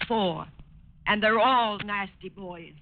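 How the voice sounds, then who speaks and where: A woman's voice: medium pitch, slow. An actress playing an earnest, plain-spoken middle-aged cleaning woman in 1940s radio drama style. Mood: earnest, worried. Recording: tinny, noisy 1940s radio recording.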